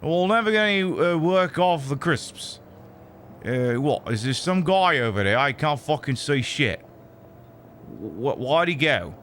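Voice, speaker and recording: A man's voice: a little high, sing-song, unhurried, a bit bright, slight background noise. A man streaming a video game in a put-on British accent, voicing a cheeky, foul-mouthed, exasperated bloke who rambles through live commentary with mock indignation. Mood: wry, mock-incredulous. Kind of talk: improvised live gaming commentary. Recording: music behind the voice. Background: game music.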